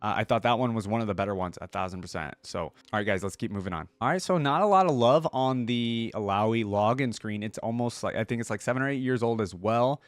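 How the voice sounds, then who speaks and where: A man's voice: lowish voice, quick pace, few pauses, dry recording. A man giving a casual live reaction commentary, thinking aloud in an informal way as the host. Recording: music behind the voice. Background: game trailer audio and music.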